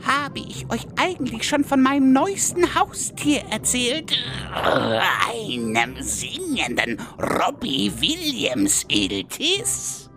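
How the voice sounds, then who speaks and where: A woman playing a quirky, curious female adventurer with a drawn-out, musing manner in a comedic radio play parody. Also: on the high side, wildly swooping pitch, music in the background, exaggerated voice. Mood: chatty.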